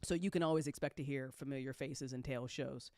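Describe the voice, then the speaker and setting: A woman's voice: very deep voice, fairly even intonation, quick pace, a little mellow, dry recording, studio quality. A woman hosting a casual, chatty recap for her community, effusive and freely sweary, often repeating phrases for emphasis. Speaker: host, audio drama creator.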